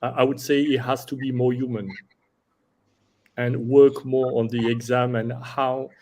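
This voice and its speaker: Man with a mid-pitched conversational voice. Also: level tone, unhurried, a little mellow. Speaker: panelist. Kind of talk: unscripted panel discussion.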